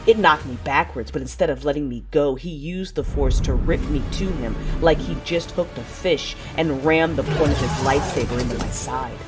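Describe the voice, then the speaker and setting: A woman plays a proud fallen warrior narrating with intensity and vengeful resolve. The delivery is measured dramatic storytelling in an audio drama, with music clearly underneath. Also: low-pitched, natural voice. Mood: intense, gritty. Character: proud, vengeful wounded warrior turning to the dark side.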